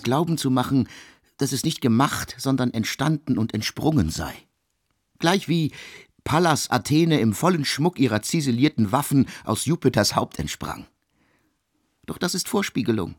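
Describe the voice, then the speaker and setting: Aloof composer, dry close sound. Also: male voice, mid-range voice, very animated, normal speed, breathy edge, dry recording, faint music behind. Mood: emphatic, sceptical. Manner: declarative, mannered, formal. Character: aloof, cerebral male composer with fixed opinions. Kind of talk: literary radio drama monologue.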